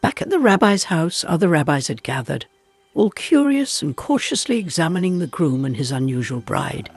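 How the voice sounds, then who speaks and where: A man's voice: on the high side, big pitch swings, normal speed, breathy edge. A man reading a scripted folk tale as a dramatic storyteller. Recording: music bed behind the voice. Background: music.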